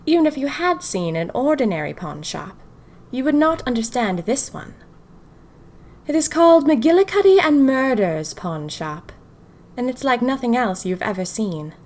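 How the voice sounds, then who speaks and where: A woman acts out a bored, imaginative young typist from the 1920s in a diary-style fiction podcast. Her medium-pitched voice is expressive and wry. She confides each entry with dramatic pauses and a whimsical sense of mystery. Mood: confiding, enchanted. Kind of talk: first-person diary audio fiction narration.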